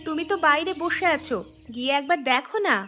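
A woman voicing a young wife and daughter in a cartoon, insistent and quick-tempered, with music behind. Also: high-pitched, sharp tone. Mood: irritated.

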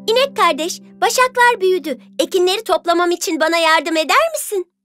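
Very high, bright little red hen. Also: female voice, expressive, a little fast, soft background music. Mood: hopeful, asking. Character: hard-working little red hen, friendly but firm. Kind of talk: children's cartoon fairy-tale acting.

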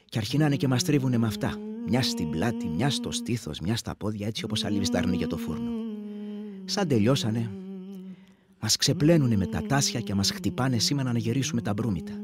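An actor playing a wounded village war veteran who reminisces intimately and plainly, with a husky voice, in a radio play monologue. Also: male voice, medium pitch, very animated, over a noisy crowd, natural voice. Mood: fond reminiscing.

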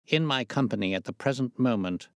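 Man playing gentleman detective, storybook sleuth, imperturbable, quietly confident, measured, lively intonation, dry sound. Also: mid-range voice, dry recording, pitched above the performer's own voice, close to an everyday voice. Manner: unruffled, measured. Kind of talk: audiobook character dialogue.

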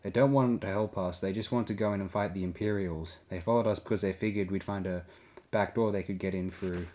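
A gruff male adventurer in an audiobook, acted by the narrator, a man. He talks in clipped, casual phrases with an impatient edge. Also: low voice, steady tone, a little fast, dark tone, lightly acted. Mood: offhand. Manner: clipped, informal.

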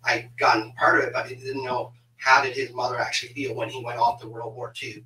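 A middle-aged man chats as a podcast host with a bright, forward voice. He speaks haltingly, doubling back on words. The sound is roomy and set back from the mic, as on a video call. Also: mid-range voice, level tone, recorded from a distance, a little hiss or hum. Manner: halting, repeats words as he goes. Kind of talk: casual interview chat. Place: small home office on a video call.

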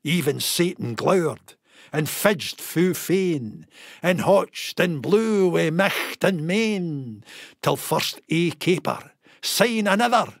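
A man with a Scottish accent giving a theatrical, expressive dramatic recitation of a narrative poem. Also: a little high, takes it slowly, vocal fry, no room sound, clean studio sound. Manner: theatrical, expressive storytelling delivery. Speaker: poetry reader.

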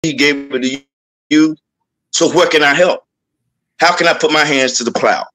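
Earnest man, slightly high voice. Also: moderate pace, a bit bright. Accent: Southern US. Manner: earnest, repetitive, speaks in lists. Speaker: podcast guest. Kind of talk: testimony-style panel talk.

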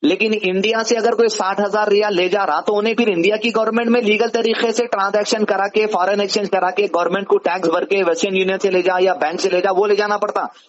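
A man's voice: high voice, flat delivery, fast, few pauses. A man with a Hyderabadi accent explaining things didactically on a phone call, often asking rhetorical questions. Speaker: advisor answering a caller. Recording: dull lo-fi phone call recording.

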